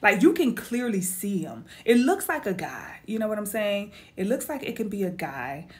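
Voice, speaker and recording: A woman chatting casually to her viewers in a vlog, animated and talkative. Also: mid-range voice, moderate pace. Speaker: vlogger.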